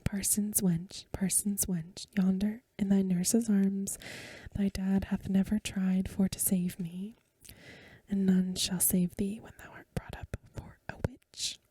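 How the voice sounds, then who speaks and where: A wretched old village witch voiced by a woman reader curses bitterly in archaic words. Her voice is a very breathy, mellow whisper, delivered as in an ASMR storybook. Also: mid-range voice, level tone, slow pace, no room sound. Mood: bitter cursing. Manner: cursing, archaic. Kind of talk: soft-spoken ASMR storybook reading.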